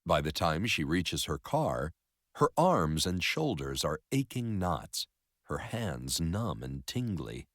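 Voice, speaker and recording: A man narrating a story in an expressive educational read-aloud style. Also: sits low, slow, no room sound, studio quality.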